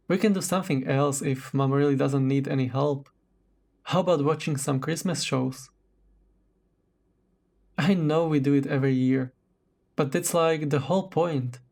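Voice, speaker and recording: Guy doing a sweet affectionate boyfriend for romance roleplay audio, unhurried slow talker, soft-hearted and reassuring. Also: fairly high pitch. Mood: cheerful, fond. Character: tender, apologetic boyfriend, caring and reassuring. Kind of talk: romantic roleplay audio drama, intimate one-sided dialogue.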